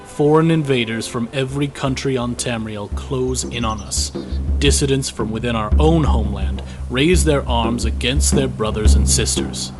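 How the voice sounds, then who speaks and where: Fantasy drama conscript, young man male actor: medium pitch, guilt-worn, reflective diary delivery, prominent musical score behind. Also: moderate pace, over music, natural voice. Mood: troubled. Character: weary, guilt-ridden young male imperial conscript soldier. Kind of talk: scripted fantasy audio drama journal narration.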